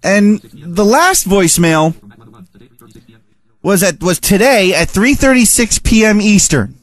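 High-voiced, unhurried male radio host. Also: very animated, no echo. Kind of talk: casual chat, reacting to listener voicemails.

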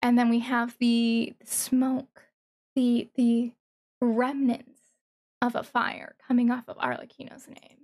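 A woman giving casual, excited reaction commentary as a streamer, thinking aloud haltingly with interjections. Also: on the high side. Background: music from the watched video.

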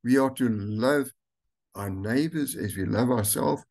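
An older man, a pastor, reading scripture aloud and preaching a sermon slowly and deliberately from a room at home. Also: mid-range voice, darkish tone. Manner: slow, deliberate reading with stretched words. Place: home room with curtains, indoors.

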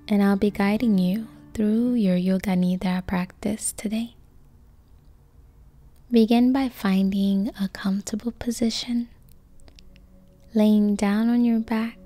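A woman guiding a sleep meditation in a soft, breathy, near-whispered voice, calm and gentle, in short phrases with long pauses. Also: medium pitch. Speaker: yoga nidra meditation guide. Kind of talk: slow guided sleep meditation.

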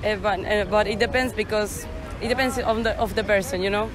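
A woman's voice: medium pitch, steady tone, a little fast, music in the background, a crowd in the background. A woman in her twenties from the Canary Islands, a native Spanish speaker, answering cheerfully and laughing easily. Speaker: interviewee. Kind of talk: street interview answers. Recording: outdoor street noise.

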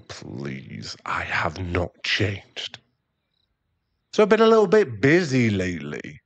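A man's voice: on the low side, fairly bright tone, full character voice. A man playing an irritable, defensive, hard-drinking werewolf boyfriend and expectant father in an ASMR roleplay audio drama, given to sighs and snappish remarks.

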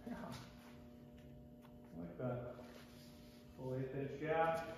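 A man's voice: a little high, fairly even intonation, very slow. A man in his thirties, a fabrication shop owner, narrating a casual shop vlog in a blunt, wry, plain-spoken way with some casual swearing. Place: large metal fabrication shop indoors. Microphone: camera mic, often across the shop. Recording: echoey shop, clear background noise.

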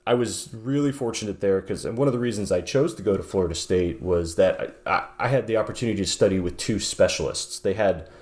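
A man in his forties with a lowish voice, talking over a video call from his home. He speaks thoughtfully, often restarting his sentences and filling gaps with "um" and "you know.". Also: fast talker, hardly any pauses, a little mellow. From southeastern New York. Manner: thoughtful, many restarts and fillers. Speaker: guest bassist. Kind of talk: casual interview. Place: home room with guitars on wall.

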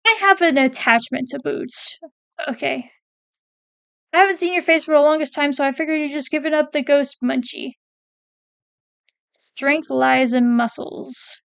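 A woman with a high voice and a gravelly fry chats casually through a ranking, trailing off and restarting as she reads lines aloud. The sound is close and dry, with no room around it. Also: unhurried, pauses often, a bit bright, no echo. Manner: chatty, hesitant, self-correcting, reads quotes aloud. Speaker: PNGtuber ranking game characters. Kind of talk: casual commentary while reading a wiki.

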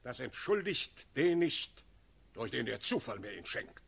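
An actor playing a stern, authoritative Elector in a classical radio drama, declaiming with a husky, creaky voice. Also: male voice, mid-range voice, sing-song, measured pace, fairly bright tone, heavily acted. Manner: declamatory, authoritative verse delivery. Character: stern, commanding male ruler, an Elector passing judgment.

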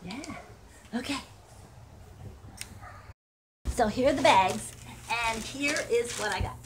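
A woman's voice: a little high, slow, a little hiss or hum, poor audio. Chatty older woman in a home vlog. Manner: chatty, rambling, coos at her dogs. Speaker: vlogger. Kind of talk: casual haul vlog. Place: home porch and carpeted room.

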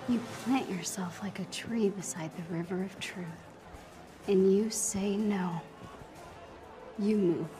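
A young woman with an American accent playing an earnest, awestruck teenage superhero fan in a video game, excitable and halting when nervous. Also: medium pitch, lightly acted. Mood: defiant. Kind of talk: cinematic video game acting. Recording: music behind the voice.

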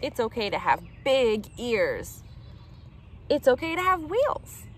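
A middle-aged woman reading a picture book aloud to young children in an animated, direct way, recorded outdoors in the woods. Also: a little high, slow pace. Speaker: children's storytime reader. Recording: outdoor ambient noise.

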